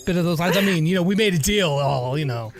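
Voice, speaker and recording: A man's voice: a little high, expressive, quick pace, faint music behind. A man hosting in a casual, chatty way, as for gameplay and interviews. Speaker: interviewer and host.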